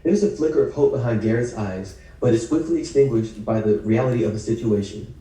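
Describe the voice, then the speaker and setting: Male stage-direction narrator, scripted read, very relaxed dark tone, far from the mic. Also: medium pitch, fairly quick. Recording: echoey room, distant video call mic.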